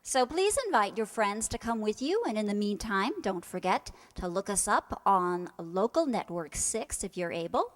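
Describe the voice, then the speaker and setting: Woman announcing an upcoming show, mid-pitched. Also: long reverb tail. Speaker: radio drama performer announcing next show. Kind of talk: scripted-sounding event announcement.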